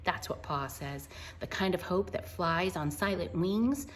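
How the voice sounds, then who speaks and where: A woman reading a picture book aloud to children in an expressive, wonder-filled way, with chatty asides and hushed moments. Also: fairly low pitch, a little slow, slight background noise. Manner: animated, wonder-filled, addressing young listeners, dropping to hushed tones.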